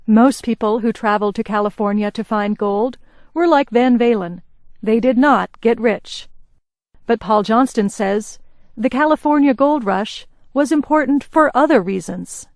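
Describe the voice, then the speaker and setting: Woman news reader, kinda high voice, slow careful reading, dead dry studio sound. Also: a little mellow, dry recording. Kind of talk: scripted news narration.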